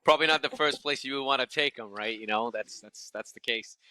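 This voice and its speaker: A man hosting a podcast, closing the interview with quick, joking questions. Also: medium pitch, bright, forceful tone, no echo. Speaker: podcast host. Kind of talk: casual interview chat.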